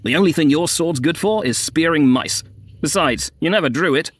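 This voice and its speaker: A man's voice: fairly high pitch, lively intonation, normal speed, fairly bright tone, pitched above the performer's own voice. A man voicing an insolent, mocking aristocratic dead scoundrel with a taunting, declamatory manner in a story. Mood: taunting, scornful.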